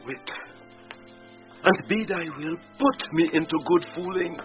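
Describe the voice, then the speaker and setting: A man's voice: high-pitched, a little slow. A man playing an impudent, witty court fool in a Shakespearean radio drama, teasing with playful riddles and mock logic. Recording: dull 1990s radio recording, faint music.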